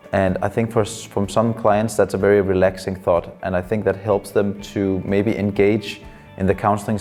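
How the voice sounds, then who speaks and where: A Danish man in his twenties, a psychology student and counselor, giving thoughtful interview answers in English as a second language, often pausing with fillers. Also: deep voice, warm tone. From Denmark. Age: 20s.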